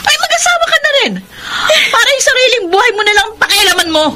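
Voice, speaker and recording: A woman's voice: extremely high pitch, sing-song, fast talker, heavy background noise. An actress with a Filipino accent playing a brazen, defiant middle-aged pregnant mother in a melodramatic radio drama. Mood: defiant, irritated. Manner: melodramatic, argumentative.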